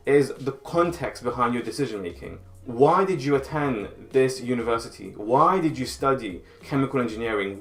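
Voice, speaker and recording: A man speaking at a mid pitch gives direct, advisory coaching in a scripted instructional video. His voice sits in a roomy space. Soft music plays faintly underneath. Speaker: career coach.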